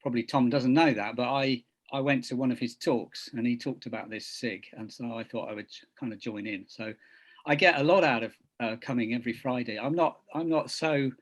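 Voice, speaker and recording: A man's voice: mid-range voice, brisk. A man who teaches English at a university, introducing himself on a video call in a hesitant, self-effacing way, often repeating words.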